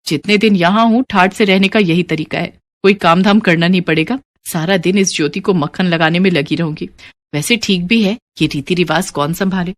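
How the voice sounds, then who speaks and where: A woman acts out a lazy, haughty young sister-in-law from the city. She is dismissive and scheming, peppering her talk with English words in animated storybook style. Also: on the low side, fairly quick, lightly acted. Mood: grumbling, scornful. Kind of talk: animated moral story character dialogue.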